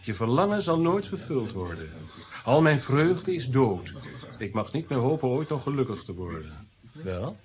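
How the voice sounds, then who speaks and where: An actor playing a jealous, tyrannical Renaissance prince in a radio drama, theatrical and mocking, moving between hushed menace and outbursts. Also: male voice, medium pitch, very animated, normal speed, a little hiss or hum, lightly acted. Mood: brooding, menacing.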